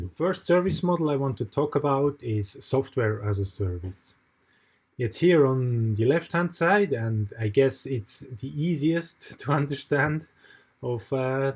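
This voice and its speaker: A man's voice: medium pitch, a little mellow. Swiss man giving a hesitant tutorial. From Switzerland. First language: not English. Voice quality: hesitant, frequent fillers and restarts. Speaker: online course mentor. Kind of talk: recorded tutorial with screen share.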